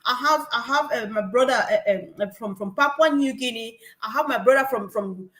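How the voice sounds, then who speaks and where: A woman from Africa, speaking at a gathering in an animated way, repeating herself and laughing between points. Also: on the high side, moderate pace. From West Africa. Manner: animated, repetitive, laughing asides. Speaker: guest speaker. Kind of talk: passionate testimony at a gathering. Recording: echoey room.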